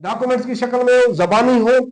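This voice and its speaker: A man's voice: very high voice, fast talker, darkish tone. A man with a Pakistani accent lecturing as a teacher, repeating key points emphatically and mixing in English terms. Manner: repetitive, emphatic, checks understanding and spells out key terms. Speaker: law lecturer for police exam candidates. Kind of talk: teaching a lesson, Urdu mixed with English legal terms.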